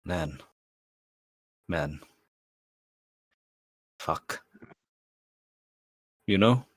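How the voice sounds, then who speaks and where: Feverish prisoner, acted by a man: intense, urgent, slangy fragments, low voice, dry sound. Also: animated, very slow, dry recording, heavily acted, rough recording. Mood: feverish excitement. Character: feverishly excited male prisoner, quick and intense.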